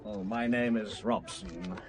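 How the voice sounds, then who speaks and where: An actor playing an affable, faintly sinister undercover agent in an audio drama, polite and measured in manner. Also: male voice, lowish voice, a crowd in the background.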